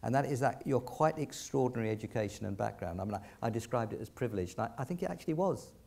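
Older man chairing, hesitant and halting. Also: medium pitch, lively intonation, gravelly. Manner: hesitant, restarts questions, gentle. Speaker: event chair and interviewer. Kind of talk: on-stage interview. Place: large lecture theatre stage.

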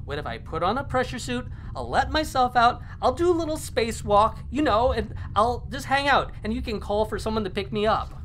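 A woman's voice: animated, fairly quick, few pauses, fairly bright tone. A woman playing a frantic, desperate stowaway pleading for life in an audio drama, sometimes sarcastic. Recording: faint noise with low rumble.

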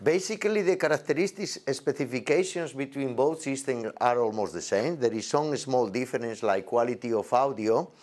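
A man in his forties with halting, non-native English gives an unscripted product demonstration as a presenter, recorded indoors on a lapel mic. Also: medium pitch, a little mellow. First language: not English. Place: indoor demo set with backdrop.

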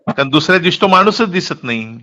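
A man's voice: on the high side, animated, fast talker, sharp tone, low-quality recording. A middle-aged man explaining things emphatically and asking rhetorical questions in a candid political phone conversation, recorded off the call. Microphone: telephone.

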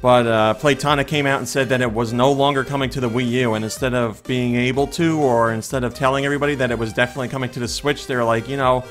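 A man in his thirties, his voice a little hoarse, hosting a casual daily news show from a small home room on a studio mic, chatting and thinking aloud with fillers and restarts. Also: mid-range voice, fairly even intonation, quick pace, hardly any pauses, soft background music. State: slightly hoarse voice. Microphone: large studio condenser mic in front of face.

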